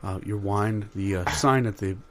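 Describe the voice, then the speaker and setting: A man improvising a comedy role as a flustered foreign restaurant customer, halting, formal, apologetic and deferential. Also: on the low side, heavy background noise, natural voice. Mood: hesitant, uneasy.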